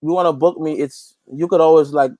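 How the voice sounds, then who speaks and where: A man's voice: on the high side, fairly even intonation, a little fast, dark tone, no echo. A man chatting casually as an interview guest, often repeating phrases for emphasis. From Guyana. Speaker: interview guest, gospel reggae singer and youth pastor.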